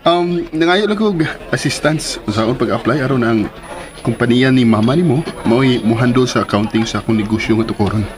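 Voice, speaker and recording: A man's voice: mid-range voice, fairly quick, crowd noise, lightly acted. An actor with a Filipino accent playing a smitten man scheming to win back an old love in a radio drama. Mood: polite, hesitant. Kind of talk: Philippine radio drama acting.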